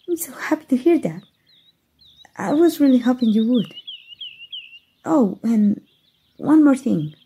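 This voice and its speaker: A woman's voice: on the high side, expressive, slow, long pauses, dark tone, slightly rough, heavily acted. A woman voice actor voicing a playful, flustered young fairy in an ASMR roleplay, giggling and stammering shyly.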